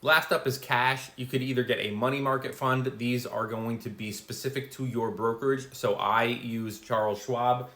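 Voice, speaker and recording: A man's voice: medium pitch, a bit bright. A man in his forties hosting a casual finance explainer, chatty with offhand asides. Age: 40s. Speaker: finance video host. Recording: roomy echo.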